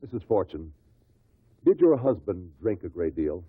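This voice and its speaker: An actor playing a shrewd investigator who puts formal, insistent questions to witnesses at an inquest in a 1950s radio drama. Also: male voice, low voice, big pitch swings, moderate pace, slightly rough, no room sound, faint music behind, heavily acted. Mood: probing, firm. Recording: muffled old radio recording.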